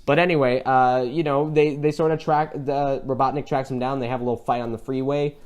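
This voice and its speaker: Male podcast co-host, casual film-chat style, animated and rambling storyteller. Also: mid-range voice, brisk, clean tone.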